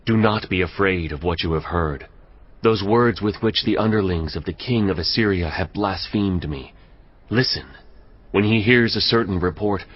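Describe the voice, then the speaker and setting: A man's voice: low-pitched, muffled recording. A man playing a stern, authoritative prophet proclaiming judgement in a dramatised audio Bible, solemn and commanding. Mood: solemn reassurance. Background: music.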